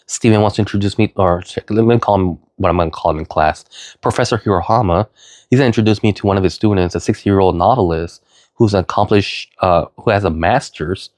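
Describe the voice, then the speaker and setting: A middle-aged man with a sniffly cold, talking in a rambling, earnest vlog monologue, full of fillers and "you know", now and then jumping into loud high emphasis. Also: fast talker, no room sound, clean studio sound. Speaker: vlogger.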